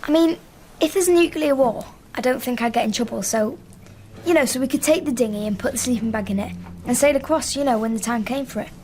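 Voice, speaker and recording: A woman's voice: on the high side, fast talker, darkish tone, a little hiss or hum, natural voice. An actress playing an earnest, hopeful young schoolgirl in a naturalistic radio drama. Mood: dreamy, chatty.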